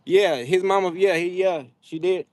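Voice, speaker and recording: A young adult man with hosts a casual livestream, talking trash to his viewers in an animated, drawling, slangy way. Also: sits high, normal speed, dry recording. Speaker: livestream host. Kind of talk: casual live chat with viewers. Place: outdoors, frogs nearby.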